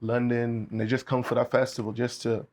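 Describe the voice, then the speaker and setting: A young man from the Bahamas, a guest musician talking in a relaxed way, with many fillers. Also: mid-range voice, brisk. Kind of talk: casual interview chat.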